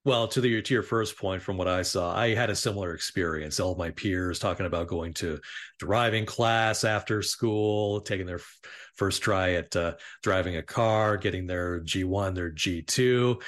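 A man co-hosting a casual discussion, speaking in a deliberate, slightly wry manner. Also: low voice, fairly bright tone. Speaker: talk show co-host.

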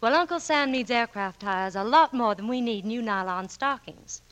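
A woman's voice: on the high side, moderate pace, a bit bright, muffled recording. A woman reading a scripted 1940s radio commercial as a spokeswoman, chatty, earnest and polished.

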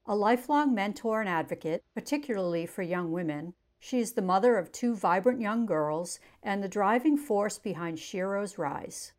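A woman's voice: medium pitch, expressive, normal speed, few pauses. A woman hosting a podcast, reading a scripted introduction and then chatting in an upbeat, welcoming way. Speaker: podcast host.

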